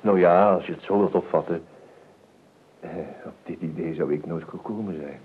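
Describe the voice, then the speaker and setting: An actor playing a nervous, evasive, eccentric gentleman in a radio play, stammering and repeating himself with ironic courtesy. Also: low-pitched, moderate pace, lightly acted. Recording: tinny, band-limited old radio recording with noise.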